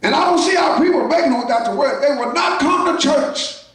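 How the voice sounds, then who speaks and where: High-voiced shouting preacher in an echoey church. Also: male voice, fast talker, far from the mic. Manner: preaching in shouted bursts with call-outs to the congregation. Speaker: pastor. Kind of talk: sermon. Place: church sanctuary.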